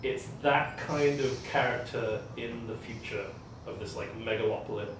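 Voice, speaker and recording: An Englishman in his thirties with an expat accent, a blend of colonial English, talks loosely and rambles, full of fillers and easy laughs. His voice sits at a middling pitch and sounds set back from the mic. It rings in a very echoey room over a faint hum. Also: normal speed, distant mic. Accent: English expat, mixed colonial. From England. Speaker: game designer guest. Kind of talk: casual interview chat.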